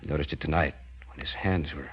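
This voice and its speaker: A man's voice: very deep voice, steady tone, fast, husky. An actor playing an obsessed, stubborn scientist father in an old-time radio horror drama, with a theatrical, emphatic delivery. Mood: grave, remorseful. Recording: dull, hissy vintage radio recording.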